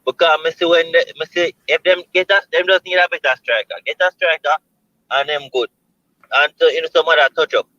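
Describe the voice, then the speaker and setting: A Jamaican man calling in to a football show, making his point in a measured way with halting restarts. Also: a little high, steady tone, pushed and bright, no room sound. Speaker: caller. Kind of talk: casual football talk. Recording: dull lo-fi call audio.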